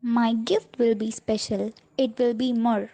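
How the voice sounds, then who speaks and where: Woman as a solemn, mellow Magi puppet. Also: on the high side, fairly even intonation, a little slow. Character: solemn Magi king puppet in a nativity play. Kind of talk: school puppet show acting.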